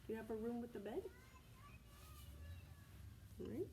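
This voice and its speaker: A woman's voice: fairly high pitch, expressive, moderate pace, creaky, mellow, slight background noise. An American woman, a preschool teacher from Texas, reads aloud to young children in simple phrases, stopping to explain and ask questions. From Texas, United States.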